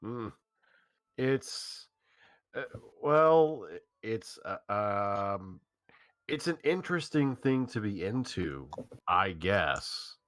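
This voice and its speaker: A man's voice: lowish voice, sing-song, very slow, fairly bright tone, no room sound, full character voice. A man reading a nervous teenage boy in a staged reading, stammering and hesitating through his lines. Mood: flustered.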